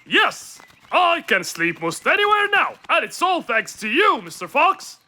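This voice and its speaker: A cheerful falcon from a kids' cartoon. The voice is piercingly bright and high, with wildly swooping, exaggerated delivery and a thankful temper. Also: female voice, very animated, unhurried, heavily acted. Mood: cheerful, grateful. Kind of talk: children's cartoon voice acting.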